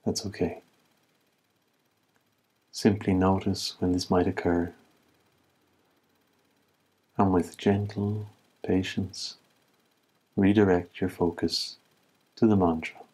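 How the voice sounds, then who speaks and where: A man leading a guided meditation as an instructor, speaking in calm, measured phrases with long pauses. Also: low voice, husky.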